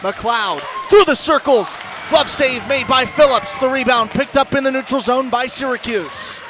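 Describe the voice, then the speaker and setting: High-pitched man calling live hockey. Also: a little slow. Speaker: play-by-play commentator. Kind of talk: live ice hockey commentary. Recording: loud crowd and arena noise behind. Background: ice rink crowd.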